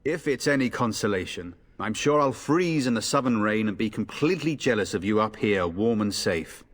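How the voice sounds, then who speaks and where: A man's voice: on the low side, expressive, moderate pace, big-room sound. A man playing a jaunty, teasing young noble soldier in a video game. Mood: confident, joking.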